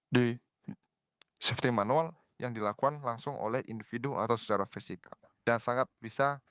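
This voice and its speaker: Clear, low-voiced young man presenting. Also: fairly bright tone, no room sound, studio quality. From Indonesia. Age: young adult. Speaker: engineering student presenter. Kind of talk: explanatory slide presentation.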